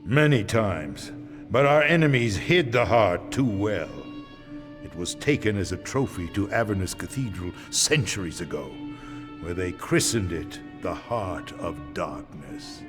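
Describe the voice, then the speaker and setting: Ancient vampire elder, deep and slow. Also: male voice, lively intonation. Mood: grave, solemn. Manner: solemn, portentous, measured delivery. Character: ancient weary vampire swordsmith, grave male elder. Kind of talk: dramatic video game cutscene acting. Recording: music behind the voice.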